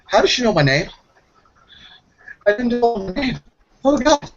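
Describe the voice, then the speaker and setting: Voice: mid-range voice, very animated, measured pace, muffled recording, faint music behind, pitched above the performer's own voice. A player voicing a jumpy, timid, slang-using member of a werewolf pack in a tabletop roleplaying game, sex unclear. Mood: panicked. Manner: nervous, stammering, casual slang.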